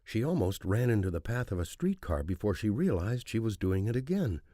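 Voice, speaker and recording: A man's voice: lowish voice, big pitch swings, fairly quick, dark tone, no echo, studio quality. A man reading scripted dramatic narration of a thriller audiobook.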